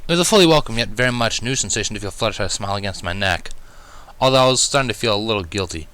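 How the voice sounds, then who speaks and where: A man reading a story aloud as narrator, with expressive delivery. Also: fairly low pitch, very fast, clear, resonant tone. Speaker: fan fiction reader.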